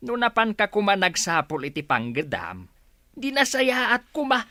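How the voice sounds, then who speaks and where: A man's voice: high voice, very animated, normal speed, pushed and bright, dry recording, studio quality, heavily acted. A man with an Ilocano accent playing an exasperated, scolding older village father in a radio comedy drama. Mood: nagging. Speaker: voice actor.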